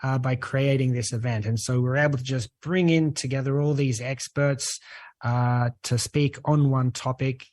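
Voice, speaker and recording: A man with an Australian accent, a guest on a talk show, speaking earnestly and relaxed, with many "uh" fillers. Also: mid-range voice, normal speed, no room sound. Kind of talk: podcast interview.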